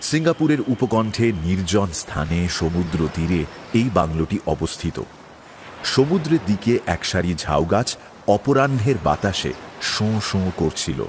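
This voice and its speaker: A man's voice: low voice, very animated, moderate pace, slight background noise. A man narrating a scripted audio story.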